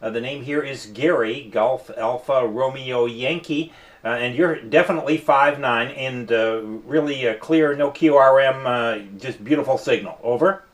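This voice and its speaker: A man's voice: medium pitch, normal speed. A man working as a ham radio operator, chatting in a friendly, enthusiastic way and using many fillers as he talks. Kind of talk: radio contact exchange. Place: home radio station, early morning.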